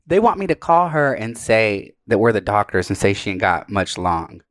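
A man's voice: lowish voice, big pitch swings, moderate pace, a bit bright. Sassy slangy man on a casual livestream. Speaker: co-host making prank calls. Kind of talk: casual livestream banter and prank calls.

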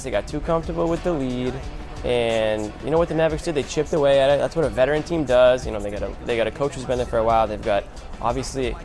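A man hosting a sports show, giving conversational, emphatic basketball analysis and repeating phrases for punch. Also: medium pitch.

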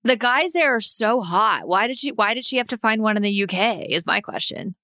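A woman's voice: a little high, lively intonation, fairly quick, sharp tone, no echo, studio quality. A woman co-hosting a podcast, giving casual, chatty and opinionated advice in an informal way.

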